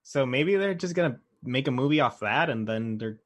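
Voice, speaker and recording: A man's voice: mid-range voice, lively intonation, moderate pace. A man co-hosting a casual chat about games and movies, conversational and thinking aloud as he goes. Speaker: podcast co-host.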